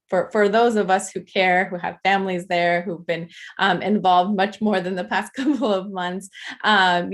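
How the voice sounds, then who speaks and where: Young woman advocate, low gravelly voice, earnest and unscripted, lots of ums. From Afghanistan. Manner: many filler ums and restarts, earnest. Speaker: nonprofit advocate speaking to a political club. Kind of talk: informal presentation at a meeting.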